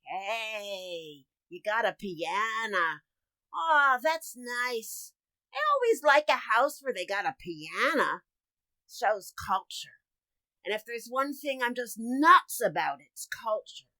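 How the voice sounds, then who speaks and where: A woman's voice: a little high, animated, slow pace, pushed and bright, dry recording, heavily acted. A woman playing a brash, folksy, bossy housekeeper in a radio sitcom, with blunt, slangy, unschooled grammar. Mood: breezy, chatty. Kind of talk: old-time radio sitcom acting.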